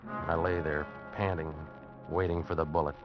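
A man's voice: rumbling low, little pitch movement, normal speed, music in the background. An actor playing a desperate American man on the run, telling and acting out his ordeal intensely in an old radio drama. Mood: grim narrating. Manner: intense, dramatic, agitated pleading. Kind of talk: 1940s-50s radio drama narration and acting. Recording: muffled old radio recording.

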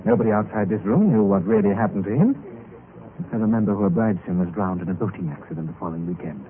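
An actor playing a reckless, fatalistic young gentleman with breezy good manners in an old-time radio drama. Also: fairly low pitch, lively intonation, fast, phone-quality sound, natural voice. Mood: matter-of-fact. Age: young adult. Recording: band-limited vintage radio recording with hiss.

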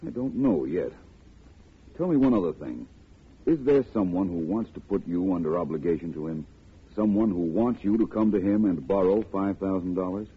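An actor playing a composed, courteous, analytical private detective in a 1940s radio drama, measured and formal. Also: male voice, low voice, animated, breathy edge, heavily acted. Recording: noisy, muffled old radio broadcast.

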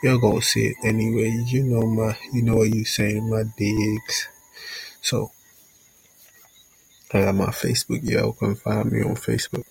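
A man's voice: on the low side, flat delivery, a little slow, vocal fry, pauses often, a little mellow, slightly rough, rough recording. A young man from Jamaica hosting a casual solo podcast intro in a laid-back, rambling way, often saying "you know what I'm saying". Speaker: podcast host.

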